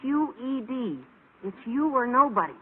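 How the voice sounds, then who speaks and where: A man voicing a scheming American adolescent schoolboy with a squeaky voice and pompous, mock-formal speech in a vintage radio sitcom. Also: lively intonation, unhurried, breathy edge, full character voice. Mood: conspiratorial. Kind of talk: 1940s-50s radio sitcom acting. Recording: tinny, noisy old radio recording.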